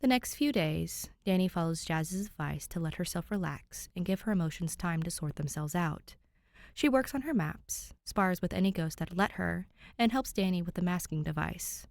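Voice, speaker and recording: A woman's voice: fairly low pitch, lively intonation, normal speed, vocal fry, a little mellow, no room sound. Close, dry-voiced woman reading stories. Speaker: podfic reader and channel host. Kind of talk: chatty intro, then expressive fan fiction narration.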